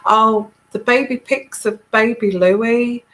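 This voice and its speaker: A woman's voice: fairly high pitch, fairly even intonation, slow, fairly bright tone, poor audio. A middle-aged English woman chatting casually with viewers on a live tarot stream, rambling with many fillers and restarts and laughing often. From England.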